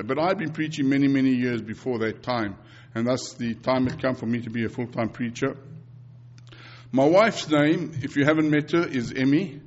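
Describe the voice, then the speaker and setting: A man's voice: medium pitch, muffled recording. A man in his fifties from South Africa with a South African accent, Afrikaans as his first language, preaching earnestly to a congregation with repeated phrases and frequent restarts. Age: 50s. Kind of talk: sermon and mission report.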